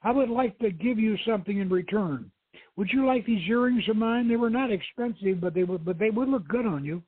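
Storybook lady archaeologist, read by a man: scholarly, assured, high and husky, narrow phone sound. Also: a little fast. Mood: earnest. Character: learned, earnest lady archaeologist on a quest. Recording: thin phone-like radio line, roomy echo.

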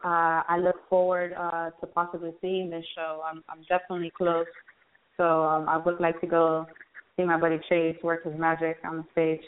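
Low-voiced woman hosting over a phone-like line. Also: monotone, moderate pace, clear, resonant tone. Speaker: radio show host. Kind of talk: casual interview chat. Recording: tinny phone-line radio sound.

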